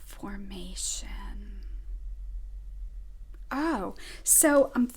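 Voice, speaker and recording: A woman's voice: mid-range voice, animated, extremely slow, breathy edge. A woman with a Rhode Island accent giving an earnest, effusive weekly oracle card reading as a spiritual advisor. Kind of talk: informal spiritual guidance monologue.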